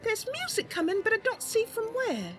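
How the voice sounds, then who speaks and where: Very high pitch, chipper young female elf, played by a woman, eager, friendly, fantasy game roleplay, swooping intonation. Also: big pitch swings, normal speed, fairly bright tone, faint music behind, exaggerated voice. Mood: curious.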